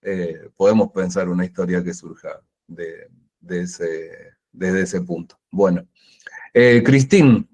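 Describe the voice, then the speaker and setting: A man's voice: deep voice, slow, darkish tone. A man coordinating a book club over a video call, rambling and thinking aloud. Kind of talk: casual video-call discussion.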